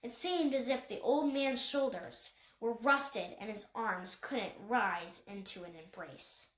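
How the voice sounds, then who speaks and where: Female story reader, higher pitch, occasional slips, roomy echo. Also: takes it slowly. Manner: occasional stumbles and self-corrections while reading. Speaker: audiobook reader. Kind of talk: scripted narration, reading a children's novel aloud. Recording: echoey untreated room.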